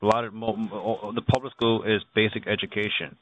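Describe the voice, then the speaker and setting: A man's voice: fairly low pitch, little pitch movement, a little fast, fairly bright tone. Man on a noisy, phone-band conference call. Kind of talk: informal political discussion on a group call. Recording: narrow phone line with background noise.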